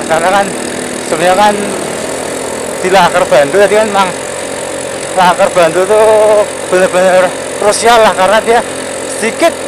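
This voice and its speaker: A man riding a motorcycle, chatting casually in a loose, rambling way. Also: high voice. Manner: rambling, repeats phrases, pauses to recall words. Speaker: motovlogger. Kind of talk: casual narration while riding. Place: outdoors on a motorcycle on a road. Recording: engine and road noise.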